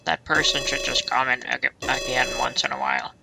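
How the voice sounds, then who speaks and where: A man with a gravelly, sore-throated voice giving casual commentary on a video game. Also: mid-range voice, sing-song, fairly quick, music in the background. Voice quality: gravelly, creaky. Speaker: let's play co-commentator. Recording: very noisy home recording.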